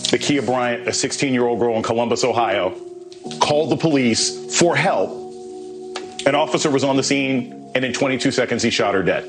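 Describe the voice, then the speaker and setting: Male news commentator, mid register, grave and emphatic, clear music bed. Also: mid-range voice, moderate pace.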